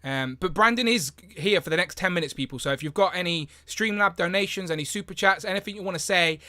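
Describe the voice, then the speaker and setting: A hoarse man hosts a live stream in a loose, rambling way, circling back on himself with plenty of fillers. His voice is bright and a touch strained, sitting slightly high for a man. The sound is dry and close, with no room around it. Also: fast talker, dry recording. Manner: rambling, lots of fillers and restarts. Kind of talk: casual live stream chat.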